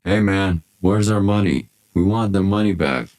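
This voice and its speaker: A man's voice: normal speed, a little mellow, close to an everyday voice. A man voicing a menacing young thug, demanding and insistent, in a dramatized personal story. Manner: low, demanding. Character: menacing young male thug demanding his money back.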